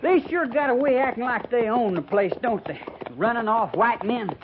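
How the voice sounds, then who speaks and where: A man's voice: very high voice, animated, normal speed. A man playing an eager, loyal young cavalry trooper with a folksy rustic drawl in a 1950s radio western, deferential to his superior. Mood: indignant, boastful. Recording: noisy, tinny 1950s radio broadcast.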